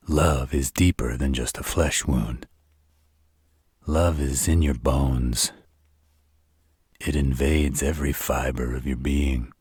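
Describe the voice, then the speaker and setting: A man playing an arrogant, flirtatious vampire in a roleplay audio drama, with a husky voice and a smug, taunting delivery. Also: darkish tone, no room sound, studio quality, heavily acted. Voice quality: very deep, husky, rough. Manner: smug, taunting, slow drawl. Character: arrogant, teasing, flirtatious adult male vampire. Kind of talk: roleplay audio drama monologue addressed to the listener.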